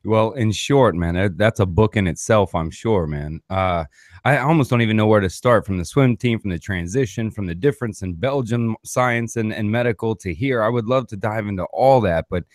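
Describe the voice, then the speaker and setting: A man's voice: lowish voice, normal speed, no room sound, studio quality. A man hosting a podcast, chatting loosely, rambling and calling his guest "man.". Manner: rambling, says "man" a lot. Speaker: podcast host. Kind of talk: casual interview.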